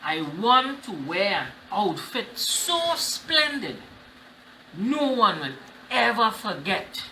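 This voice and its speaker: A vain, demanding emperor from a children's storybook, acted out by a man reading aloud. The delivery is boastful and slow, with big swings of pitch. The recording is roomy. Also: sing-song, pushed and bright, a little hiss or hum, heavily acted. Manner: boastful, imperious, emphatic.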